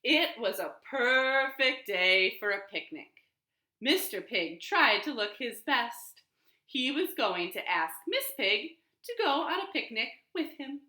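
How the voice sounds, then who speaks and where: A woman hosting a children's storytime, reading aloud and talking in a deliberate, sing-song way, recorded at home in a living room. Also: a little high, very animated, bright, forceful tone. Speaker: library storytime host. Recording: roomy, echoey home recording.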